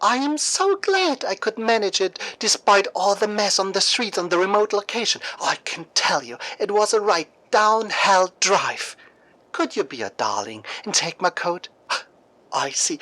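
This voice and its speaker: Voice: medium pitch, big pitch swings, measured pace, sharp tone, pitched above the performer's own voice, exaggerated voice, rough recording. A narrator voicing a charming woman secret agent gushing breezily at a party in a radio story. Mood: gushing, breezy. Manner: sugary, breezy socialite chatter.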